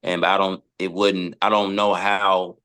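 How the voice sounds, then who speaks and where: Deep-voiced American man, informal and hesitant. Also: little pitch movement, measured pace, bright, forceful tone, smooth. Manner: informal, hesitant, circles back over words. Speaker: podcast guest. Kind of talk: casual discussion.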